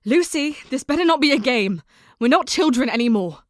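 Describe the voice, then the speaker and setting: A woman voices a worried young woman in a radio play. Her high voice is close and dry, with an anxious, urgent but polite manner. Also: lively intonation, brisk, no room sound. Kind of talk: audio drama acting.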